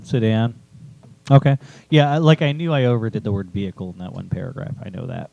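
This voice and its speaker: A man's voice: on the low side, fairly quick, gravelly, mellow, no echo, muffled recording. A man talking informally and thinking aloud as he discusses his own writing with co-hosts. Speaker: writer and co-host presenting own chapter. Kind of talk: casual critique discussion.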